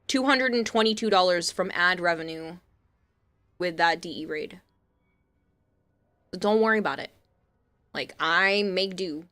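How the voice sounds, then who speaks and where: A woman's voice: lowish voice, measured pace, pauses often, a bit bright. A young woman from Massachusetts with a Boston accent, chatting casually to viewers on a live stream. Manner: chatty, reassuring, reading chat aloud.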